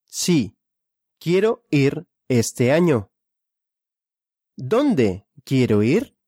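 A speaker leading a question-and-answer language drill. The speaker asks each question, then answers it deliberately. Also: mid-range voice, big pitch swings, warm tone, breathy edge, no echo, studio quality.

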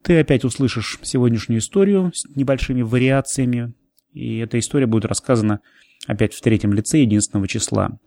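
A man's voice: mid-range voice, fairly quick, gravelly, warm tone, no echo, studio quality. A man narrating a language lesson as the teacher. He explains new words, then repeats and translates them.

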